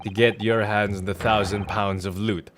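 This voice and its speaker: A man voicing an earnest defence lawyer from a game, reading the dialogue in a formal, measured, theatrical way. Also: lowish voice, flat delivery, fairly bright tone, a little hiss or hum, voice pushed down, close to an everyday voice. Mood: accusing. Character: earnest male defence lawyer, methodical and accusing. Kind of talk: dramatic read-aloud of game dialogue. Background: game music.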